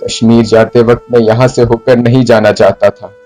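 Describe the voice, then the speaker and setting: A man performing a theatrical monologue as a broken, remorseful poet confessing to his beloved in formal, literary language, deliberately and with dramatic pauses. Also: mid-range voice, flat delivery, dark tone, natural voice. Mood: anguished, fearful. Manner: brooding, deliberate, with dramatic pauses and repeated phrases. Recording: flute music under the voice. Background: flute music.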